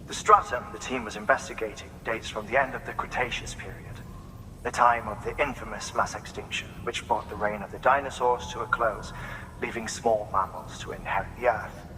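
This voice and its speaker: A polite scientist who lectures, lowish voice, noisy background. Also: male voice, steady tone, brisk, bright, forceful tone. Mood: lecturing. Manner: formal, lecturing. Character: formal, courteous male scientist. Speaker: scientist character.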